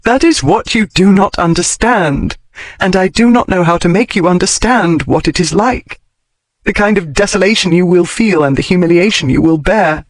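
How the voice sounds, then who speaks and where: A man putting on a sharp-tongued period lady. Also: expressive, moderate pace, no echo. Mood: distressed. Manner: crisp, formal period speech rising in pitch. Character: blunt, logical Victorian-era lady, wry and emotional. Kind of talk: audiobook character reading.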